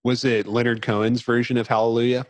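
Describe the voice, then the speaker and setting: A man's voice: medium pitch, level tone, quick pace, no echo. Wry man in very dry close sound. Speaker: podcast co-host. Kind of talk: casual chat.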